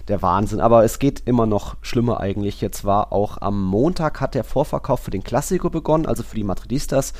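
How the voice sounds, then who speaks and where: Fast-talking man, casual podcast chat. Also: fairly low pitch, darkish tone. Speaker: football podcast host and reporter.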